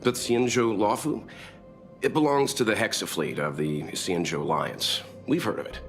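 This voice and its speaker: An actor playing a serious, level-headed male crew member in a video game, speaking in a grave, measured way. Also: lowish voice, fairly bright tone. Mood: grave, warning. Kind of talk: English video game voice acting. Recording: background music under the voice.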